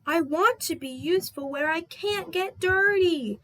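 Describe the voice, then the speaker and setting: A woman's voice: very high-pitched, measured pace, bright, forceful tone, voice pushed up, heavily acted. A woman voicing a whiny, fussy little male steam engine with a huffy, plaintive manner in a children's storybook. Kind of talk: children's storybook read-aloud.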